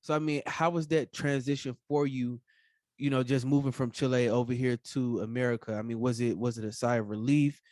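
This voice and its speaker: A man's voice: medium pitch, fairly even intonation, a little fast, a little mellow, no room sound, studio quality. A man hosting a podcast interview, talking casually with many fillers and encouraging remarks. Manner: casual, filler-heavy, affirming. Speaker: podcast host.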